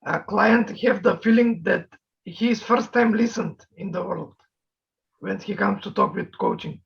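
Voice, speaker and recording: A male coach speaking as an in a slow, halting way, searching for his words. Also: high voice, vocal fry. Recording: dull, lo-fi sound.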